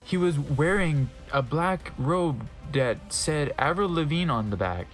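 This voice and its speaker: A man's voice: a little high, lively intonation, slow pace, soft background music. A man narrating fan fiction as a dramatic comedic reading, breaking off for wry offhand asides and stumbling over odd wording. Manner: wry, stumbles over misspelled words and comments on them.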